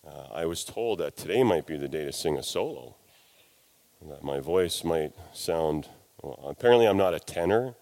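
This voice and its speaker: Man, middle-aged, very low voice, stuffy from a sinus cold, church sermon, gentle self-mocking humor. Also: expressive, moderate pace, gravelly. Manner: folksy, self-deprecating humor. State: congested from a cold and sinus infection. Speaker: pastor. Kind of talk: sermon with scripture reading.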